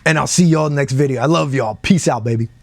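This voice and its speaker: A man's voice: medium pitch, a little fast, gravelly, dry recording. A man hosting a casual music commentary video, speaking in a conversational, emphatic and opinionated way. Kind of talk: casual opinion talk to camera.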